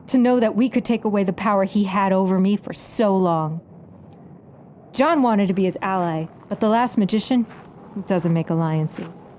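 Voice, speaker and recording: A woman's voice: medium pitch, a little hiss or hum, close to an everyday voice. A woman playing an embittered, defiant stage magician in an audio drama, narrating her own story with dramatic intensity. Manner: dramatic, swings from hushed narration to angry outbursts. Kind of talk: audio drama acting with first-person narration.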